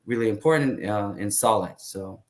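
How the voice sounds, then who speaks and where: A man in his thirties from Israel, talking as an interview guest in a hesitant way, with many fillers and pauses. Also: lowish voice. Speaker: guest, tour guide. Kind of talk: casual interview talk.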